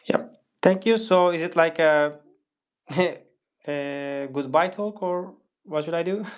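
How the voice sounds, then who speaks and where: A man's voice: on the high side, slow pace. A man whose first language is Turkish, speaking politely and carefully as an audience guest on a tech chat. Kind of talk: casual chat.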